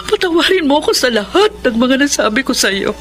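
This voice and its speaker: A woman's voice: sits high, animated, brisk, music in the background. An actress playing a guilt-ridden, troubled mother in a Filipino radio drama, with an emotional, confessional delivery. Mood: pleading, guilty.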